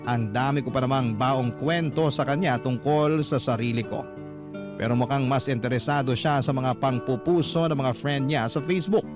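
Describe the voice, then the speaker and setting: A man's voice: mid-range voice, normal speed, over music, natural voice. An actor playing a sincere, wistful Filipino man confiding his love story as first-person narration in a radio drama. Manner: confiding, earnest.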